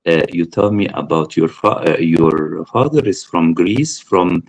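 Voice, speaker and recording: Man, from Egypt, interviewer, halting, searching for words, low-pitched, gravelly. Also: animated, lo-fi sound.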